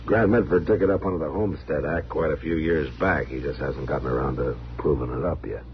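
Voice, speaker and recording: Through a noisy old radio recording comes a low-voiced frontier marshal. He is stern but fair, plain-spoken and measured, pausing as he weighs things in classic radio western style. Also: muffled recording. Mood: measured, explaining. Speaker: lead actor in a radio western. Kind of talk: 1950s radio western acting. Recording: noisy, band-limited 1950s radio recording.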